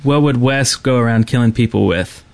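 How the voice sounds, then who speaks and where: A man's voice: medium pitch, normal speed, vocal fry. A man co-hosting a podcast, speaking in casual chat about video games. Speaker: podcast co-host.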